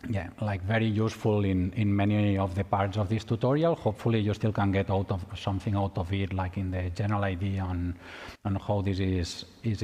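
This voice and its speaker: A man's voice: low-pitched, a little mellow. A man from Spain with a Spanish accent, giving a conference tutorial, often restarting and repeating words. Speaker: tutorial presenter. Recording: long hall reverb.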